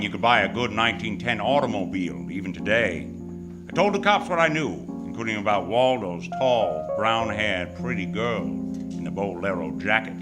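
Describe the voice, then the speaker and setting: A man acting a wry, hardboiled private detective who narrates his case in old-time radio noir style on stage. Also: fairly low pitch. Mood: matter-of-fact, sardonic. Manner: wisecracking, tough, world-weary slang. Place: stage of live radio theatre.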